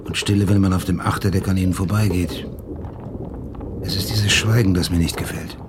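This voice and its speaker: An actor playing a wary, dutiful ship's lieutenant in a radio play, measured, formal and foreboding. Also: male voice, low-pitched, steady tone, noisy background, lightly acted. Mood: grim warning.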